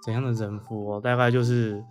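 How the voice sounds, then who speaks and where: A man's voice: medium pitch, level tone, brisk. A man from Taiwan with a Taiwanese Mandarin accent, talking casually as a guest novelist in a radio interview. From Kaohsiung, Taiwan. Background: background music.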